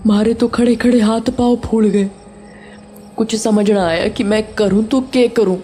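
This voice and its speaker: A woman's voice: a little high, fairly even intonation, mellow, a little hiss or hum, lightly acted. A woman playing a guilt-ridden older caretaker, mournful and pleading in a horror audio drama. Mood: anxious confession. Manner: mournful, regretful, halting.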